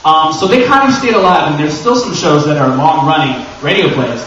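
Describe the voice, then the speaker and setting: Animated American man presenting in an echoey room. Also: fairly high pitch, a little fast. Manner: animated, conversational, self-deprecating joking. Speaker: convention panel presenter. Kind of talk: informal live presentation to an audience. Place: convention panel room. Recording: echoey, dull, noisy room from far off.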